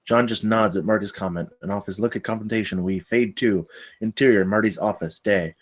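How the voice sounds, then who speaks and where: A man's voice: fairly low pitch, a little fast. A man reading stage directions as the narrator of a screenplay table read.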